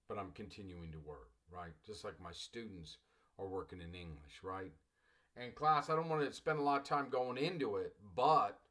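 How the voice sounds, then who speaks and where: A man's voice: lowish voice, bright, forceful tone. A middle-aged man from Los Angeles, a college English teacher, talking to his class in a loose, rambling way and often calling them "class". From Los Angeles, United States. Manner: addresses listeners as "class", loose and digressive, repeats phrases. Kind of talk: recorded class lecture.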